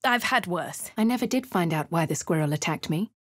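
An actress with a posh English accent playing a composed, wry female adventurer in measured narration. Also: fairly low pitch, animated, fairly bright tone, dry recording, studio quality. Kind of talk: game character voice lines.

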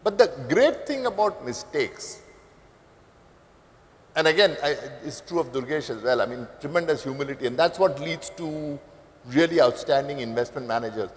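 Older Indian man, high voice, reverberant hall. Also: normal speed. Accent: Indian English. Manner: animated, gestures broadly while talking. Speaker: panelist. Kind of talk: panel discussion. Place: indoor conference stage. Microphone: lapel mic. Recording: reverberant hall, lapel mic.

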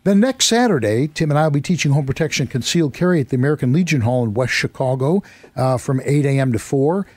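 A male radio host with a hoarse, worn voice, talking in a chatty, rambling, self-deprecating way in a studio. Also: medium pitch, expressive, fast talker, a little mellow, dry recording. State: hoarse, losing his voice. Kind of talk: casual radio talk. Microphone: studio microphone.

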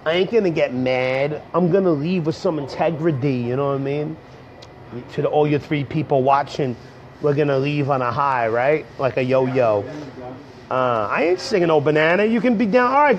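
A young man, hoarse from a cold, rambling casually to viewers on a livestream from a coffee shop. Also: a little high. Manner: rambling, slangy, talks straight to viewers. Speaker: comedian livestreaming. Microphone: earbud headset mic on a phone. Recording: dull lo-fi phone stream.

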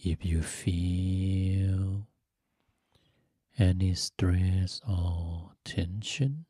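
A man leading a guided meditation in a soft, breathy, gentle voice, speaking in short phrases with long pauses between them. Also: sits low, dry recording, studio quality. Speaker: Buddhist monk teaching meditation.

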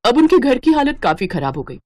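A woman's voice: a little high, sing-song, brisk, darkish tone, no room sound. A woman narrating a moral story in a scripted storybook style.

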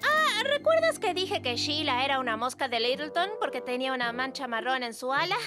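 A woman voicing a cheerful, brainy schoolgirl in an animated children's series, chirpy and matter-of-fact. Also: high-pitched, a little fast. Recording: music under the dialogue.

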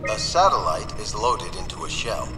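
Formal explainer narrator, lively intonation, game tutorial film, loud music. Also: male voice, lowish voice, normal speed. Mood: formal, explanatory. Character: formal male narrator of space-launch instructional video. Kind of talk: scripted instructional narration. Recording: distant, echoey hall under loud music.

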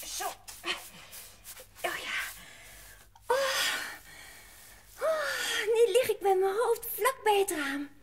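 A woman's voice: very high voice, lively intonation, extremely slow, pauses often, exaggerated voice. A woman playing an eager, cheerful, curious little girl in a children's audio drama, with childlike wonder. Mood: delighted wonder.

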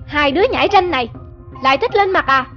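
A woman voicing a rude, angry male driver in a cartoon, scolding loudly. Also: sits high, lively intonation, fast, highs cut off, soft background music. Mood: angry, scornful. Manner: scolding, loud. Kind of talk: cartoon dubbing.

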